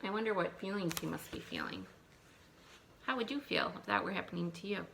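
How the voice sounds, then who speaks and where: Creaky-voiced woman reading aloud to children. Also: medium pitch, brisk, slight background noise, rough recording. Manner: expressive, thinking aloud with questions to young listeners. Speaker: elementary school teacher. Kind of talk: picture-book read-aloud with asides to children.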